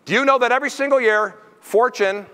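Animated high-pitched American keynote man, echoing hall. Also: fairly quick, smooth. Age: middle-aged. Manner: emphatic, theatrical, punchy repetition. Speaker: keynote speaker. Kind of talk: motivational business keynote speech to an audience. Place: on stage in large conference halls. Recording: reverberant hall sound system.

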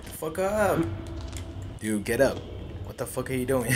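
A man's voice: mid-range voice, unhurried, background noise. A man in his twenties giving casual, profane live commentary as he plays a video game, talking to himself. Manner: profane, excitable, talks to himself. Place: small bedroom, indoors. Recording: loud game music behind the voice. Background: loud game music.

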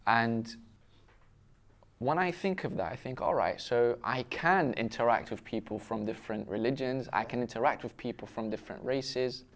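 A young man who grew up in Syria and then the UK talks with friends at a table. His voice sits at a middle pitch, and he reflects earnestly, often posing questions to himself as he goes. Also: moderate pace. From raised in Syria until twelve, then the UK. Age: young adult. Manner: earnest, self-questioning, frequent rhetorical questions. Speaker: panel discussion participant. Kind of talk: casual round-table discussion, personal reflection. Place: indoor restaurant-style set with brick walls, seated at table. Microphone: lapel mic.

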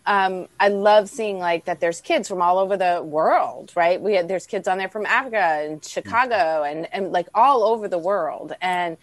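A woman's voice: lowish voice, moderate pace, few pauses, dry recording. A woman hosting a conversational podcast interview, stammering over repeated words as she goes. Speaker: podcast host.

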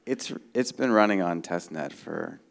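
A middle-aged man with a low voice and a noticeable fry. He explains technical points informally as a panelist, checking that listeners follow. He speaks into a handheld microphone in a conference room. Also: lively intonation, a little slow. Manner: explanatory, checks understanding with "right?". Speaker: conference panelist, software developer. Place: conference room stage before a seated audience.

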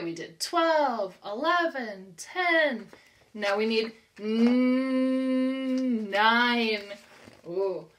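A woman's voice: fairly high pitch, pushed and bright. An American woman in her thirties, a preschool teacher reading aloud and counting for young children in a simple, encouraging, instructional way with careful enunciation.

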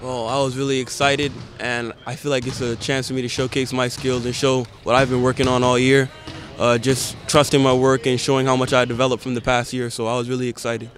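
A teenage basketball prospect from the Bahamas, answering interview questions in a calm, even way into a handheld mic. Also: male voice, mid-range voice, fairly quick, faint music behind. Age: teens. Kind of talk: post-game press interview. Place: indoor camp media backdrop. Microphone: handheld reporter mic held close.

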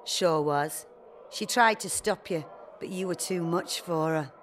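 An actress playing a sly, mercenary brothel madam in a video game, with a familiar, knowing manner. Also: female voice, fairly low pitch, a little slow, a little hiss or hum, close to an everyday voice. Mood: sly, chatty.

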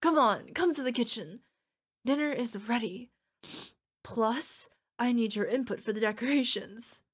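A woman's voice: a little high, unhurried, slightly rough. A woman playing an affectionate young orc girlfriend in an ASMR roleplay, emotional and playful as she talks to her partner. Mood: excited, playful. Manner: gushing, emotional, playful, talking to an unheard partner. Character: young orc girlfriend, fierce yet cutesy, needy, affectionate.

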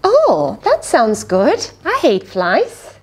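A middle-aged woman reading aloud to young children, expressive and animated. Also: a little high, drawn-out words, a little hiss or hum. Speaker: children's storyteller. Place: small fabric play tent indoors.

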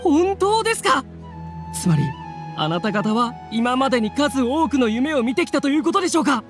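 A man's voice: very high voice, very animated, normal speed, over music. An actor playing a courteous, eager young man who organizes events in an anime game, speaking formally and earnestly. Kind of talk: Japanese anime game acting.

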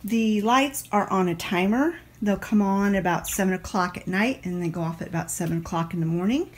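A woman's voice: medium pitch, fairly quick, soft background music. A middle-aged woman chatting casually as she tidies her country home, explaining things as she goes and often restarting her sentences. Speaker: home vlogger. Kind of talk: casual cleaning vlog narration. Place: country house, indoors, rooms with plants and a kitchen. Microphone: handheld phone camera.

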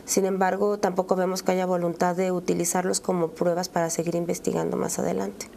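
Mexican woman in her 30s explaining stuff in an interview, soft dark tone, some noise behind her. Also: lowish voice, flat delivery, a little fast. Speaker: interview guest, relative of a victim. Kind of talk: interview answers, conversational. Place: dark TV studio, round table. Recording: clear background room noise.

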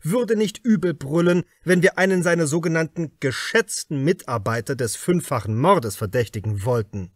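A man voicing a calm, brooding male police detective who speaks firmly and deliberately in an audiobook. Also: on the high side, no echo, studio quality. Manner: firm, deliberate. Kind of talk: audiobook character dialogue.

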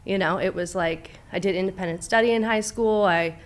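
A woman's voice: mid-range voice, brisk. A young woman from Southern California, a therapist as guest, telling her life story openly and chattily, with many fillers, into a studio mic. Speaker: clinical director, therapist, guest. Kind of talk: casual interview, personal story. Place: studio with brick wall. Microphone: studio mic on boom arm, headphones.

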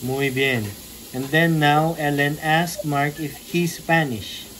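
Man, Spanish teacher, patient corrections, video call, slowish, faint background hiss. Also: a little high, steady tone, fairly bright tone, poor audio. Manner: patient, correcting and prompting students. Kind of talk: online class drilling.

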